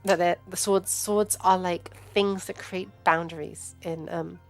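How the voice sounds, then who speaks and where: Low-pitched Englishwoman rambling over music. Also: fairly even intonation. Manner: digressive, halting, thinking aloud. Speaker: host and scholar giving a live reading and commentary. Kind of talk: rambling informal academic commentary on a livestream. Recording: music playing behind the voice. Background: background music.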